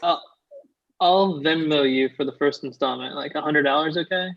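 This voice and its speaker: A man's voice: fairly high pitch, moderate pace, vocal fry, highs cut off. An actor reading the part of Nathan, a meek, awkward young man, in a hesitant, mild way at a comedy table read.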